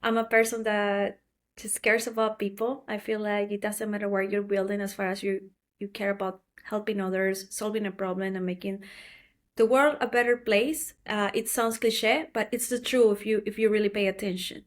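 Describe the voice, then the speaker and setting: A woman's voice: medium pitch, fairly even intonation, moderate pace. Woman, earnest interview guest. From Ecuador. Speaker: guest, company co-founder. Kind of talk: casual interview chat.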